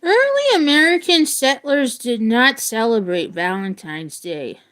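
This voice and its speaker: A woman's voice: on the high side, fairly bright tone, poor audio. A woman reading a children's nonfiction book aloud like an educator, adding informal, casual asides.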